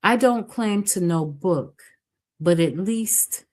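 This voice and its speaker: A woman's voice: medium pitch, expressive, slow, darkish tone, pitched above the performer's own voice. A woman voicing a shy, earnest young village woman in a storybook reading.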